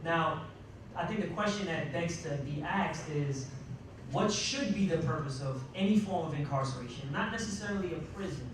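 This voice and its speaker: A man speaking unscripted as a panelist, emphatic, pausing mid-sentence and posing rhetorical questions to make his points. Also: fairly high pitch, lively intonation. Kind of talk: panel discussion remarks, unscripted. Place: large indoor room at a college panel event. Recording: echoey, noisy room, distant microphone.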